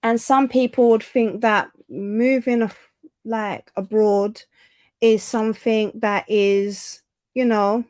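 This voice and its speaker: A woman's voice: on the high side, steady tone, slow, a little mellow, no echo. Woman livestream host, chatty, reads comments aloud, close dry sound. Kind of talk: casual livestream chat.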